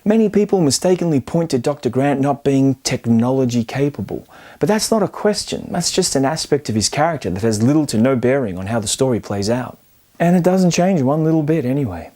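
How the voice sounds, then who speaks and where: Man narrating film analysis, softened mellow tone. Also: medium pitch, very animated, a little fast. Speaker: film commentary host. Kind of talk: scripted video-essay narration.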